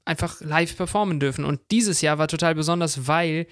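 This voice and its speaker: Higher-voiced man, enthusiastic podcast co-host. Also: brisk, fairly bright tone, smooth, no echo, clean studio sound. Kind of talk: casual chat.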